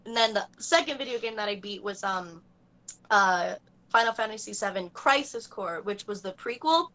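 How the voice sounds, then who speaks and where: A woman's voice: medium pitch, expressive, normal speed, a bit bright, a little hiss or hum. A bubbly young woman cosplayer chatting on a podcast, gushing and filling every sentence with "like". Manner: bubbly, gushing, fills speech with "like". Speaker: cosplayer guest. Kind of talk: casual podcast chat.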